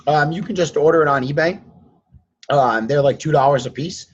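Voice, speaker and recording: A hoarse man with laryngitis hosting a live group call, coaching students in a blunt, lecturing way. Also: a little high, normal speed, soft background music. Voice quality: husky, strained. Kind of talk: casual coaching chat. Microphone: online call. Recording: dull lo-fi sound.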